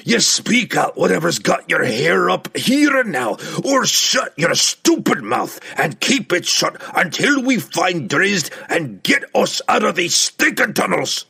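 A man voicing a gruff old dwarf king in a fantasy audiobook, blunt and mocking, with rustic dialect. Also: fairly high pitch, wildly swooping pitch, a little slow, a bit bright, voice pushed up, full character voice. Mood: furious. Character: gruff, angry old dwarf king, fatherly but scolding.

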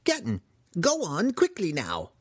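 A man's voice: fairly high pitch, huge pitch swings, a little slow, husky, no echo, studio quality, pitched above the performer's own voice, exaggerated voice. A man voicing a cranky, paranoid old human wizard in a fantasy audiobook, shrieking and muttering in quaint broken grammar. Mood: shrill alarm.

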